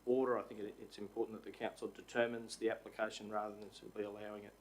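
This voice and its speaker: A man gives formal procedural advice to a council in a low, flat voice. He halts often, with ums and restarts. A faint hum runs behind him. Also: low-quality recording. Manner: halting, many ums and restarts. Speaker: council CEO giving advice. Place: council chamber.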